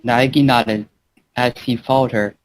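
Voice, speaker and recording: A man's voice: mid-range voice, steady tone, measured pace. A man hosting and narrating a fan fiction as an amateur reader, casual and occasionally stumbling over words. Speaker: channel host and story narrator.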